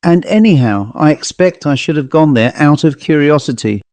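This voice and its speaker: A man's voice: on the high side, lively intonation, normal speed, a little mellow, dry recording. A man reading in character as a chatty, genial commercial traveller telling an anecdote in colloquial style for an audiobook ghost story. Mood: amiable, confiding. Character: dapper commercial traveller, chatty male raconteur of ghost stories.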